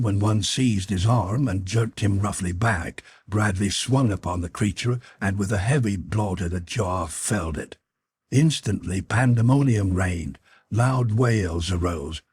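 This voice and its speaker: A man reading a novel as an audiobook narrator, in measured, formal storytelling style. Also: on the low side, a little mellow, breathy edge, dry recording. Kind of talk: scripted literary narration.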